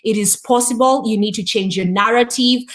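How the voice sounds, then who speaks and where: A woman's voice: fairly high pitch, a little fast. A woman from Kenya co-hosting a coaching talk, speaking candidly and affirming her partner. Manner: warm, candid, affirming, with frequent fillers. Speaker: co-host. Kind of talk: casual conversation.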